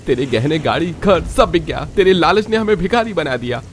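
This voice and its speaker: A man voicing a weak-willed young husband in a cartoon, pleading in a high strained voice. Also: animated, normal speed, a little hiss or hum, natural voice. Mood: angry. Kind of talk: Hindi cartoon voice acting.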